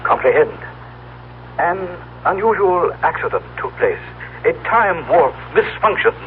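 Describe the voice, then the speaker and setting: High-voiced, solemn being from the future. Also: male voice. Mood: grave, insistent. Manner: formal, stilted, precise diction. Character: mouthless telepathic being from the future, solemn and proud. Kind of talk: old radio drama acting. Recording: tinny, noisy old radio broadcast recording.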